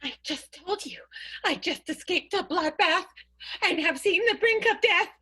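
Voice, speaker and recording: Woman playing a shrill, overwrought melodrama wife. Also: lively intonation, measured pace, pushed and bright, full character voice. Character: terrified, melodramatic diva wife. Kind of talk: improvised radio play, old-time melodrama.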